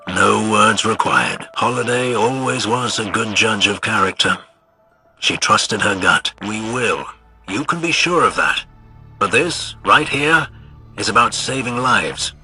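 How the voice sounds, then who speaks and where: A man's voice: lowish voice, animated, a little slow, sharp tone, husky, faint music behind, full character voice. A man playing a plain-spoken, hopeful soldierly commander in a video game, earnest and conversational. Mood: encouraging. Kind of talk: scripted video game acting.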